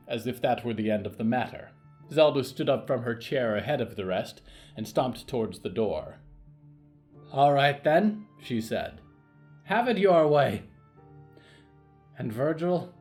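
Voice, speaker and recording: A man narrates from a script for an audio fiction show. His delivery is theatrical and deliberate, with a lively range of pitch, and music plays behind him.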